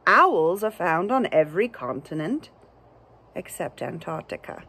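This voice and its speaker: A woman's voice: lowish voice, very animated, measured pace, bright, forceful tone, slight background noise, pitched below the performer's own voice, heavily acted. A woman voicing a bossy, exasperated male narrator of animal facts in a children's storybook, declaring and scolding.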